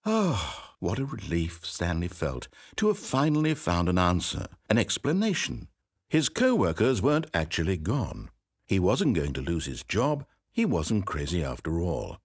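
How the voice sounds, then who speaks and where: A man voicing an omniscient, wry and theatrical narrator in a video game, reading dramatic scripted narration that swings from hushed asides to exclamations. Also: low voice, wildly swooping pitch, a little slow, breathy edge, dry recording, clean studio sound, heavily acted.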